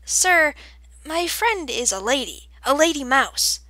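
A woman narrator acts a young male crow in a storybook. He is high-voiced, eager and courteous, addressing his betters with earnest deference. The tone is bright and dry. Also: sing-song, slow, no echo, heavily acted, poor audio. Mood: polite, nervous. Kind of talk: audiobook character voice.

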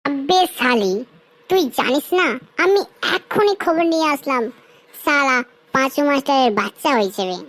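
A woman's voice: high-pitched, lively intonation, normal speed, a bit bright, poor audio. A woman voicing a cheeky, pestering, crude friend in a comedy cartoon, teasing in slangy speech. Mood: gossipy, exasperated. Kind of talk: Bengali comedy cartoon dialogue.

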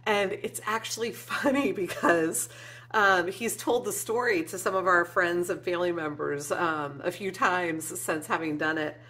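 Woman, 40s, craft vlog host, sincere and chatty, bright low-ish voice, at-home webcam. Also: measured pace. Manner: warm and emotional, frequent ums, gestures with hands. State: teary at moments. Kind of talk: heartfelt casual vlog to viewers. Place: indoor craft room with shelves and flowers.

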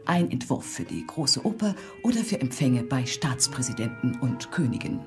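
An actress playing a polished fashion reporter in a radio drama, presenting in a matter-of-fact, breezy report style. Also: female voice, rumbling low, sing-song, moderate pace, heavily acted. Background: music under the voice.

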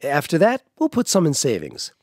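A man's voice: fairly high pitch, wildly swooping pitch, normal speed, darkish tone, no room sound, studio quality. An American actor playing a cheerful, devout middle-aged father in a family radio drama. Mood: cheerful, encouraging.

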